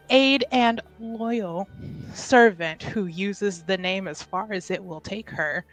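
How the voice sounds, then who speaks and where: Slow-paced woman, casual, faint music. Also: fairly high pitch, a bit bright, dry recording, poor audio. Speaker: tabletop game player. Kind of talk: casual self-introduction.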